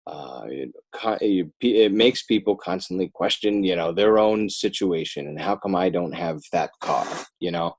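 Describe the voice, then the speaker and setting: Low-pitched American man, casual podcast guest. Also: moderate pace, few pauses. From Northern New Jersey, United States. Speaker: podcast guest, children's book author. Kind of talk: casual podcast interview, plugging work.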